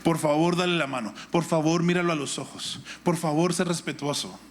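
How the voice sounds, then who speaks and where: A man's voice: on the high side, fairly even intonation, brisk, a little hiss or hum. A middle-aged man with a Guatemalan Spanish accent, preaching a sermon in an instructive manner with rhetorical questions and repetition. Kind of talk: sermon with Bible reading and personal anecdote.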